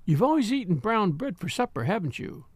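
A man voicing a composed, sensible woman in her thirties who speaks quietly and gently. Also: sits high, big pitch swings, a little fast, slightly rough, dry recording, pitched above the performer's own voice, heavily acted.

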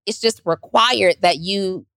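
A woman with a squeaky, raspy voice, a business owner chatting casually on a panel and acting out voices as she talks. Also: takes it slowly, dry recording. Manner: animated, acts out voices in quotes.

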